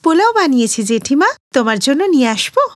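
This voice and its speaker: Lady playing sweet polite young daughter-in-law in a cartoon, high voice, obliging, dry recording. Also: very animated, fast talker, no echo. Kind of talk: animated moral story cartoon dialogue.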